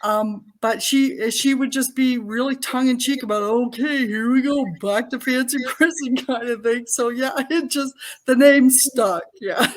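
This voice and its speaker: A woman's voice: sits high, a little slow. An older woman from Canada whose first language is Italian, talking casually as a podcast guest over a video call, chatty and prone to restarts. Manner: chatty, laughs often, frequent restarts. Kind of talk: casual interview chat.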